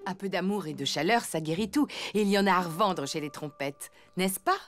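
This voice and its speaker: A woman's voice: on the low side, brisk, soft background music, lightly acted. A woman voicing a caring but bossy elephant mother in a French cartoon.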